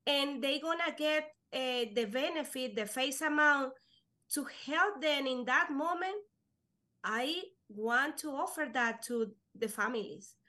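Spanish-native woman guest, young, high bright voice, unhurried, halting earnest English. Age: young adult. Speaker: guest, life insurance agent. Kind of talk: casual interview chat.

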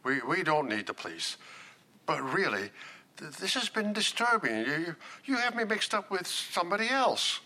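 Flustered old grandpa, radio drama, stammery, sharp lively highish voice. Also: male voice, normal speed, heavily acted. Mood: flustered, pleading. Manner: stammering, hesitant. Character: flustered, gentle elderly grandfather.

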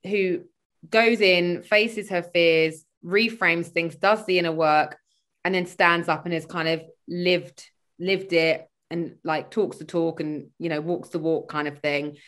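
Woman, lower pitch, chatty, rambling, video call audio. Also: steady tone, measured pace, a bit bright. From born in Sweden, grew up in London. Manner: chatty, rambling, frequent fillers and laughs. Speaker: guest, spiritual mindset coach. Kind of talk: casual conversational interview.

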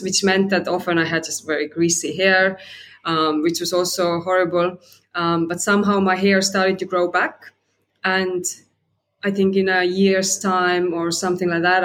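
A woman's voice: lowish voice, fairly bright tone, slight background noise. Guest, woman from Estonia, English, chatty and reflective. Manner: conversational, many fillers and restarts, rising "right?" tags. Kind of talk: personal storytelling interview.

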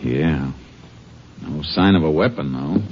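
Low-voiced, clipped Texas Ranger man. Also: expressive, takes it slowly, full character voice. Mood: methodical, grave. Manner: clipped, probing, matter-of-fact. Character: calm, sharp-eyed male Texas Ranger investigator. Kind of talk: 1950s western radio drama acting. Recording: dull, noisy vintage radio recording.

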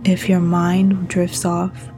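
A woman guiding a meditation as a narrator, speaking in a soothing, gentle and breathy manner. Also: on the low side, completely flat delivery, slow, over music. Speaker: meditation guide.

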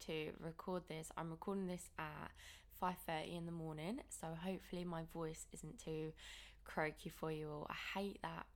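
A young woman in her twenties hosts her own podcast, chatting candidly to listeners. Her voice is croaky from early morning and has a gravelly fry, sitting on the lower side for a woman. She speaks openly and casually, peppering her talk with "like" and "okay". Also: steady tone, moderate pace. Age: 20s. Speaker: podcast host and coach.

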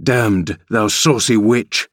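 Man acting a surly, rough young farm lad. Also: on the low side, slow, no room sound, studio quality. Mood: angry, surly. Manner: curt, gruff muttering.

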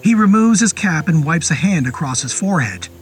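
A man narrating a scripted horror story in a measured, descriptive storytelling manner. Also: on the high side, sing-song. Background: music under the voice.

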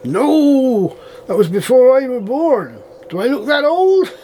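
A man's voice: very high-pitched, sing-song, slow, mellow, slight background noise, exaggerated voice. A man playing a suspicious, chatty, old-fashioned farm manager with a rural English accent and folksy dialect in an audio drama. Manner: folksy dialect, addresses others as young lad.

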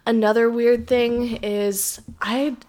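A woman talks casually into her podcast mic, rambling from one thought to the next. Her voice is raspy from a sore throat, and she leans on "like" and "um" as she goes. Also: fairly high pitch, little pitch movement, slow pace, a little mellow. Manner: chatty, rambling, many "like"s and "um"s. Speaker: podcast host. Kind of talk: casual solo podcast chat. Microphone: podcast microphone.

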